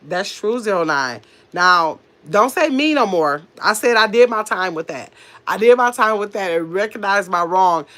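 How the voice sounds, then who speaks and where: A woman's voice: mid-range voice, expressive, normal speed, a bit bright. A middle-aged woman with a very nasal voice, stuffy from a cold that is coming on. She talks loosely and at length to her livestream chat, firing back at comments with sass and rambling asides, close to a phone or webcam mic. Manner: talkative, sassy, rambling, answers chat comments directly. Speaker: livestream host reading chat.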